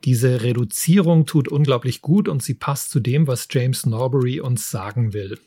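A man's voice: mid-range voice, normal speed, warm tone, breathy edge, no room sound, studio quality. A man co-hosting a book podcast in a conversational reviewing style.

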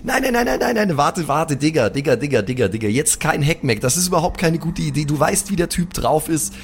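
A man playing an apologetic, excitable superhero with slangy, stammering speech in an improvised audio drama. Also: on the high side, brisk, a little hiss or hum. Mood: alarmed. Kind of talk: improvised German audio drama role-play.

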